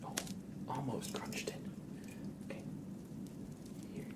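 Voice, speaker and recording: A man hosting a live ASMR stream in a soft, often whispered voice, chatting informally in loose, hesitant phrases. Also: slow. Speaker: ASMR livestream host. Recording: clear background ambient noise.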